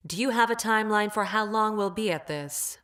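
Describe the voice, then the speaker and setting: A woman's voice: medium pitch, fairly quick, fairly bright tone, close to an everyday voice. A woman playing a clinical, detached female android scientist in a video game, speaking with formal precision and ruthless logic. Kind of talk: video game character voice lines.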